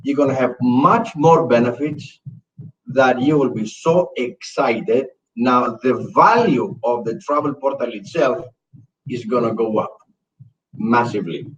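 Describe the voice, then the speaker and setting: Excitable man on a distant muffled mic. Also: mid-range voice, unhurried, slight background noise. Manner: excitable, jumps in over others. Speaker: company executive co-host. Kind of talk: casual webinar chat. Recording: distant mic, dull lo-fi sound.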